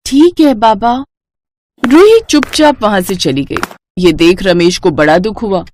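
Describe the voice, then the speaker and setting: A woman's voice: on the low side, big pitch swings, moderate pace, a little mellow, slight background noise. A woman narrating a scripted children's story.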